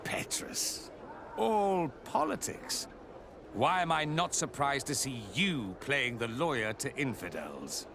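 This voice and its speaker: Haughty zealot inquisitor, very animated. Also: male voice, medium pitch, slow pace, background noise. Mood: haughty, defensive. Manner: haughty, indignant, formal. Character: pious, zealous, evasive male inquisitor. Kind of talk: video game voice acting.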